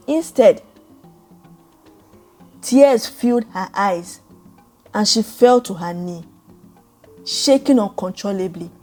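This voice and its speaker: A woman narrating a dramatic story in a measured, solemn way. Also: a little high, mellow. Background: background music.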